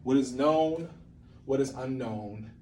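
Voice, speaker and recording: A man's voice: mid-range voice, slow, dark tone, slight background noise. A man giving an informal whiteboard lesson, thinking aloud in a rambling way and often interrupting himself. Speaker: creative methods teacher and playwright.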